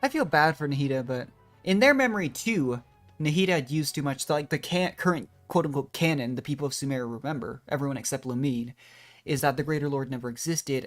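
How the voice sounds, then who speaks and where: A woman streaming a game, giving casual, chatty commentary and musing aloud as she thinks things through. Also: rumbling low.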